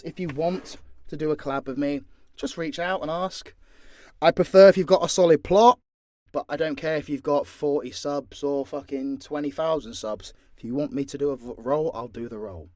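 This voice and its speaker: A man's voice: on the high side, normal speed, darkish tone, no room sound. Blunt British man chatting, dry close sound. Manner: blunt, sweary, informal. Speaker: audio creator and voice actor. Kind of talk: casual unscripted Q&A, reading and answering questions.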